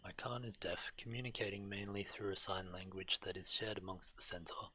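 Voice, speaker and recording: A man's voice: deep voice, steady tone, fairly quick, sharp tone, a little hiss or hum. A man reading game dialogue aloud on a stream, now and then stumbling over words.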